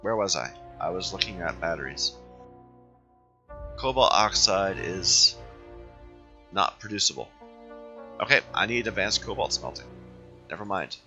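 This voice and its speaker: A man with a hoarse voice from being sick, hosting a game playthrough and thinking aloud as he plays, often correcting himself. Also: low voice, animated, a little slow, pauses often, bright, forceful tone, lo-fi sound, faint music behind. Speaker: let's play host. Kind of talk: casual gameplay commentary.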